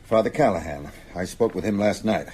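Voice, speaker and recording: An actor playing an earnest, worried older high school teacher in a radio drama, speaking gravely and deliberately. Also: male voice, lively intonation, dark tone, slight background noise. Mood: sombre, worried.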